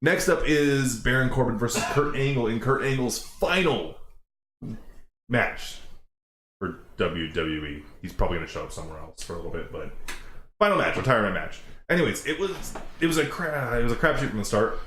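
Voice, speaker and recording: A man with a loud voice hosting a casual reaction chat, rambling excitedly and often restarting his sentences. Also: medium pitch, brisk. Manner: excited, rambling, self-interrupting. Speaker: show host. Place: outdoors in the shade. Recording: roomy with faint noise.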